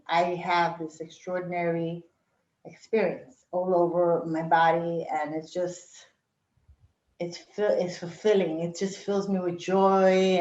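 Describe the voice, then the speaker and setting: A middle-aged woman, raised in Brooklyn and born in Puerto Rico, reminiscing casually as an interview guest, with many fillers and frequent laughs. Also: on the low side. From Puerto Rico, raised in Brooklyn, New York. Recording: roomy, dull lo-fi sound.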